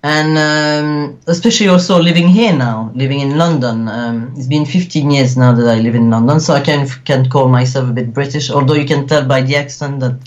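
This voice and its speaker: A man's voice: mid-range voice, brisk, hardly any pauses. Man, Belgian French accent, earnest, rambling, filler-heavy, muffled audio. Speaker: guest actor. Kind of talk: casual interview.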